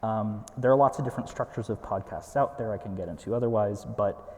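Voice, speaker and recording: A man speaking on a panel in a measured way with fillers. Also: fairly low pitch, darkish tone, long reverb tail. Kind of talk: academic panel talk.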